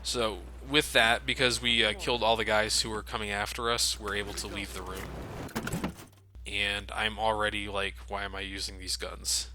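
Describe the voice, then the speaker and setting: A man's voice: on the low side, little pitch movement, normal speed. A man giving casual, wry let's play commentary over a video game, swearing now and then. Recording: noisy game sound under commentary.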